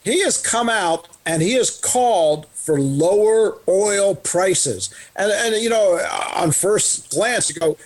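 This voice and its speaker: A man's voice: high voice, expressive, slow pace, few pauses. A middle-aged man co-hosting a casual political banter podcast, wry and joking, often stammering and restarting. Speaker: co-host.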